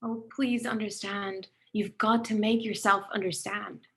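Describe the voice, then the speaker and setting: A woman's voice: medium pitch, level tone, unhurried, fairly bright tone. A woman acting an anguished, volatile author in a stage play reading, impassioned and pleading, repeating her words with emphasis.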